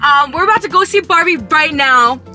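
Lively, gushing young woman. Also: high voice, moderate pace. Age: young adult. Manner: excitable, gushing. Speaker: vlog guest, friend. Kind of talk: casual chat. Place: inside a car. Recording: background music mixed in.

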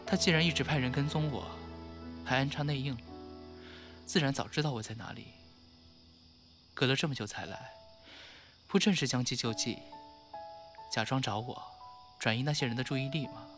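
An actor playing a bitter, wounded young former doctor in an audio drama. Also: male voice, medium pitch, normal speed, long pauses, lightly acted. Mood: resigned, bitter. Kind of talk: Chinese audio drama acting. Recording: music behind the voice.

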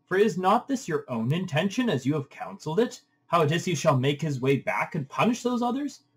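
A man's voice: a little high, sing-song, fairly quick, husky, pitched above the performer's own voice. A man voicing a commanding king of the gods in a stately, declamatory reading of an epic. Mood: reproving.

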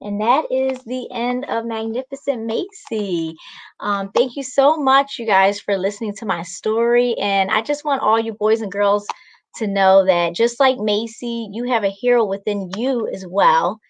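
A woman's voice: a little high, unhurried. A young woman, a children's author, reading and speaking to children in an encouraging way. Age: young adult. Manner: encouraging, addresses children directly. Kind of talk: storybook reading and talk to children. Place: small indoor room at home. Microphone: video call microphone.

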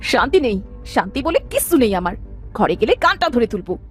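A woman playing a nagging, sharp-tongued poor village wife and mother in a cartoon story, scolding and sarcastic. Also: a little high, very animated, a little fast, faint music behind. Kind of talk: dramatic cartoon story dub.

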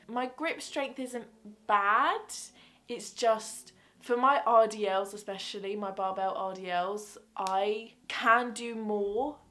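A bubbly young woman in her twenties talks straight to camera in a small bedroom. Her voice sits at an ordinary middle pitch. She chats loosely, rambles and restarts her sentences. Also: a bit bright. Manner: bubbly, rambling, self-correcting. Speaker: fitness vlogger. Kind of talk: casual chatty gift-guide vlog. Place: small bedroom with fairy-light backdrop.

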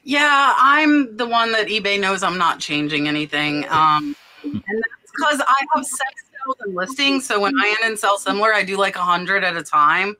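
A woman's voice: medium pitch, expressive, moderate pace, pushed and bright, poor audio. A woman hosting a casual chat, candid and a little self-deprecating. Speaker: podcast host.

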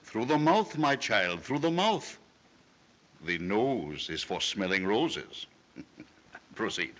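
Grand, patient 1930s movie voice coach, unhurried with swooping intonation, on a slightly noisy vintage track. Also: male voice, lowish voice, very animated, a bit bright, heavily acted. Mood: lofty, correcting. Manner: grand, deliberate, instructing. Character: haughty, patient studio elocution coach. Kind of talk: 1930s film comedy acting. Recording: faintly hissy old film soundtrack.

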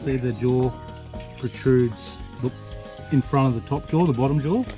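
A man's voice: medium pitch, moderate pace. A man casually explaining how he prepares and cooks fish outdoors, filmed on an action camera. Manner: laid-back, explains as he cooks. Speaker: fishing vlogger. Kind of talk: casual fishing and cooking commentary. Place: outdoors, on a boat and at a barbecue. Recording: music playing under the voice.

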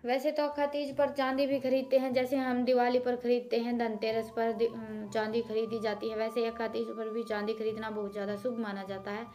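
A Haryana village woman in her thirties talks viewers through her cooking and household chores in a warm, homely voice-over. Her voice sits a little high, and she explains each step patiently. Faint background music plays beneath her. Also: little pitch movement, brisk, hardly any pauses. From Haryana, India.

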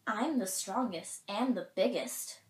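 A proud, boastful young buck from a storybook, read by a woman. The delivery is firm, confident and unhurried, in an echoing room. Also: medium pitch, lively intonation, fairly bright tone, far from the mic. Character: boastful young male deer, strong and confident. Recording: roomy, echoing space.

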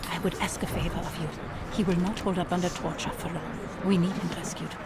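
A lowish female voice with a German accent, set in loud ambient noise. a secretive underground contact in a spy game, composed and guarded, speaking with cool, measured gravity. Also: fairly even intonation, gravelly. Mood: grave. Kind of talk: video game cinematic acting. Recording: loud background ambience over dialogue.

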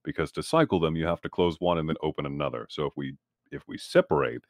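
A man's voice: a bass voice, quick pace, no echo, studio quality. A man playing a tabletop game, explaining and arguing his points in an insistent, casual way.

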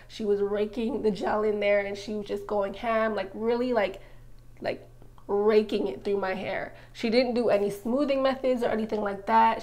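A woman's voice: medium pitch, darkish tone. A woman in her twenties, a hair vlogger, narrating in a casual, chatty voice-over full of filler words like "like".

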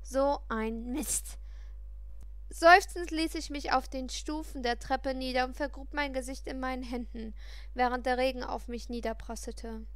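A woman reading a first-person story aloud in an expressive, dramatic way. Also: sits high, a little slow, no echo. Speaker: audiobook reader.

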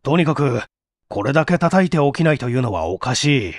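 A man's voice: mid-range voice, very animated, brisk, no room sound, clean studio sound. A man playing an earnest, urgent male lodger in a dramatized reading of a mystery story. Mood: concerned, firm.

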